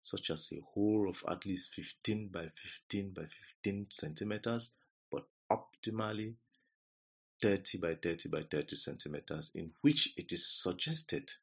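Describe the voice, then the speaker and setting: Deep-voiced Cameroonian man, slightly husky. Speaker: instructor. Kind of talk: scripted lesson narration.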